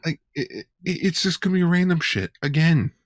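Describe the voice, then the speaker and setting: A man co-hosting a podcast in casual fan chat, often halting and repeating words. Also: fairly high pitch, expressive, no echo, clean studio sound. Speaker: podcast co-host.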